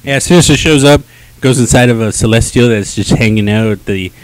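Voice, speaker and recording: A man's voice: mid-range voice, brisk, dry recording, low-quality recording. Laid-back young man chatting casually. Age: young adult. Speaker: review show host. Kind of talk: casual comic book review chat. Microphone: handheld microphone.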